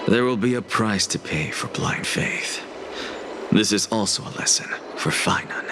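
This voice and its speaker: A man's voice: on the low side, unhurried, noisy background, close to an everyday voice. A man voicing a proud, stern scholar and teacher in a video game, with an imperious, cool and theatrical manner. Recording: music behind the voice.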